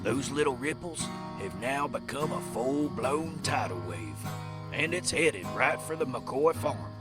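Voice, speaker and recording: A man's voice: medium pitch, animated, unhurried. A man narrating a Western tale in a folksy, dramatic storyteller manner over guitar music.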